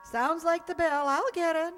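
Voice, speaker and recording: Wry, high-voiced schoolteacher played by a woman, echoey hall. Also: fairly even intonation, normal speed, fairly bright tone, soft background music. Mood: welcoming. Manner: dry, sardonic. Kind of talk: radio sitcom acting. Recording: echoey hall, long reverb.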